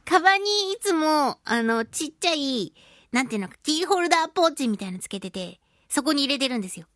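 A woman's voice: sits high, lively intonation, quick pace, a bit bright, no echo, clean studio sound. A woman voice actor hosting a casual solo radio talk show, chatting playfully and acting out sound effects.

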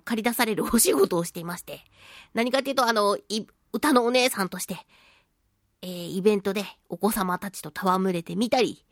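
A woman hosting a casual solo radio talk show, speaking in an expressive, self-deprecating way with drawn-out exclamations. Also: mid-range voice, no echo, studio quality. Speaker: voice actress and singing event MC.

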